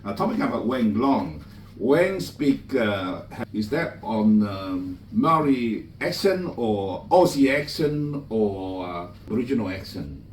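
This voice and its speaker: A man's voice: fairly low pitch, sing-song, takes it slowly, darkish tone. A man from Malaysia hosts a book launch, telling anecdotes in a chatty way with slightly non-native grammar. His mid-range voice is picked up from a distance, and the room echoes. A faint hum sits behind it. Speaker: master of ceremonies. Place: bookshop event room. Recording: roomy, from a distance.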